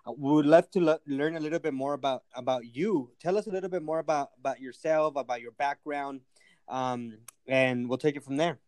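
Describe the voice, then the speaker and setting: A man's voice: on the high side, a little fast, a little mellow, dry recording. A man hosting an interview, speaking in an encouraging way with a few stumbles. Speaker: interview host.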